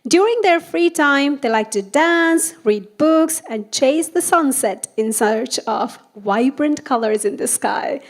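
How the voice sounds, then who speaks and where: A woman with a high voice hosts a live event. She sounds upbeat and brisk, thanks the jury and reads out introductions for each participant. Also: expressive. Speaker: event host. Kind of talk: hosting and reading introductions.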